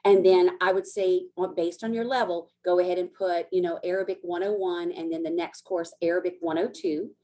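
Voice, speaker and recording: A woman's voice: lowish voice, fairly even intonation, brisk, few pauses, dark tone. A woman from Louisiana hosting an information session as a study abroad coordinator, speaking casually with many fillers and restarts. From Acadiana, Louisiana. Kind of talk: hosting a recorded info session.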